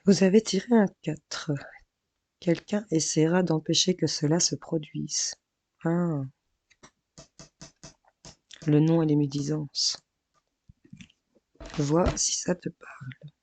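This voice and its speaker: A hoarse woman losing her voice works through a daily tarot horoscope in a chatty, hesitant way, calling her viewers friends. Her delivery stays flat and even, with little rise or fall. The sound is dry and close, with no room echo, though the mic sits a little farther off. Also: low voice, slow pace, pauses often. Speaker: tarot card reader. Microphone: mic placed farther away.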